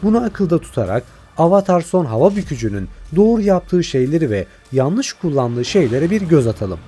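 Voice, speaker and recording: A man's voice: fairly high pitch, big pitch swings, normal speed, mellow, slightly rough. A man delivering scripted video essay narration as a channel host.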